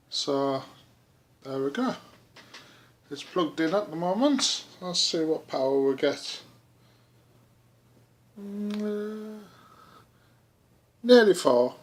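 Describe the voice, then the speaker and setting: A man giving a casual DIY how-to vlog, speaking haltingly as he thinks aloud. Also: a little high. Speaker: hobbyist presenter.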